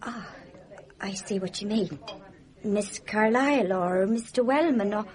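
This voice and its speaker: An actress playing a gossipy, plain-spoken nurse in a radio mystery drama, chatty and emphatic with folksy phrasing. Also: female voice, mid-range voice, measured pace, a little mellow, close to an everyday voice. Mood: confiding, certain.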